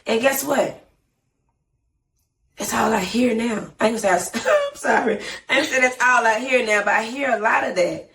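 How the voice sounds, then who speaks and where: Low-voiced Southern woman, animated and rambling. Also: normal speed, far from the mic, a little hiss or hum. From Atlanta. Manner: animated, rambling, frequent "you know what I'm saying". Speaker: guest artist. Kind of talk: casual interview.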